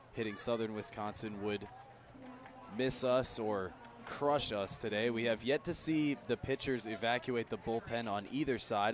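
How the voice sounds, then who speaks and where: An American man giving conversational, unhurried color commentary on a live baseball radio broadcast. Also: on the low side. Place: outdoor ballpark broadcast.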